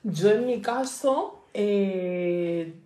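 A woman's voice: medium pitch, drawn-out words. A young woman from Spain, co-hosting a casual podcast chat and telling stories with lots of laughter. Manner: animated storyteller, laughs a lot, colloquial. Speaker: podcast co-host. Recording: echoey room with faint noise.

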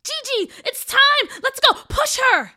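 A woman's voice: fairly high pitch, lively intonation, unhurried, pushed and bright, heavily acted. A woman voicing a spirited, eager young boy in a story, casual and exclamatory. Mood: urgent shouting.

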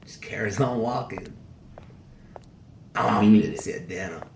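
Male actor doing a low-voiced, sneering, crude-talking convict; roomy echo, faint music under. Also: measured pace, vocal fry, a little hiss or hum. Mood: storytelling, cold. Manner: coarse drawling slang, sneering. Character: defiant male convict serving life, bitter and crude. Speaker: prison inmate. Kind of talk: dramatic dialogue acting.

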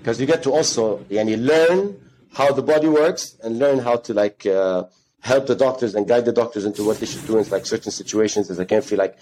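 A man's voice: mid-range voice, a little fast, a little mellow, a little hiss or hum, low-quality recording. A man in his thirties, from Ghana, telling his story as an interview guest in a rambling way, with Arabic phrases mixed into his English. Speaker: guest, gym co-founder.

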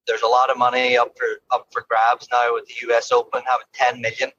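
A man's voice: mid-range voice, monotone, a little fast, pushed and bright, no echo, muffled recording. A man with an Irish accent, a golf coach, chatting easily from his car in an interview. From Ireland. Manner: easygoing, laughs often, repeats words. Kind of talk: casual interview chat from a car.

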